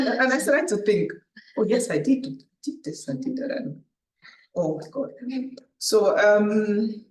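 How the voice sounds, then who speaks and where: Animated woman in echoey room. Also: on the low side. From Nigeria. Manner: animated storytelling, acts out her own thoughts, tags lines with "right?". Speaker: panel speaker. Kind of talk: personal talk at a public event. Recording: echoey room, distant mic.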